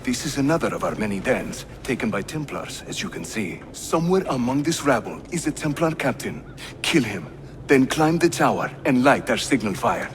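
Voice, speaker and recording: A man's voice: medium pitch, very animated, normal speed, a little hiss or hum, lightly acted. An actor playing an affable, spirited Ottoman assassin in a video game, with a playful, florid way of giving instructions. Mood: brisk, instructive. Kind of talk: video game cutscene acting. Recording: music behind the voice.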